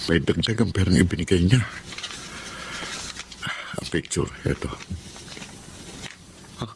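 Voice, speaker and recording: Deep-voiced, earnest Filipino man. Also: lively intonation, slow, exaggerated voice. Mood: brooding. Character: dutiful, hard-pressed son working as hired killer. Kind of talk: Filipino radio-style melodrama acting. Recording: clearly noisy background.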